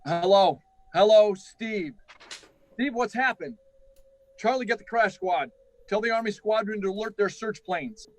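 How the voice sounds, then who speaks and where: A man's voice: high voice, unhurried, long pauses, rough recording. A man playing an anxious, commanding flight controller with an urgent manner, in radio drama style. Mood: alarmed, urgent.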